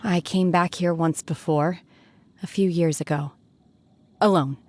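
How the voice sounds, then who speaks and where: An actress voicing a reserved, melancholy young woman in a video game, measured and subdued. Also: on the low side, steady tone, a little mellow, a little hiss or hum, close to an everyday voice.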